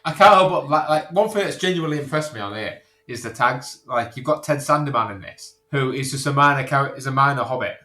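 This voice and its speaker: A man's voice: mid-range voice, brisk, hardly any pauses, pushed and bright. A man bantering casually as a podcast co-host, with a sweary, jokey way of talking. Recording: roomy, echoey sound.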